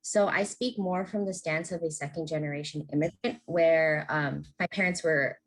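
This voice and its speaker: Low-voiced young woman, thoughtful and hesitant. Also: fairly even intonation, moderate pace, rough recording. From United States. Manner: thoughtful, hesitant, many fillers. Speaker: intern. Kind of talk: personal reflection in a discussion.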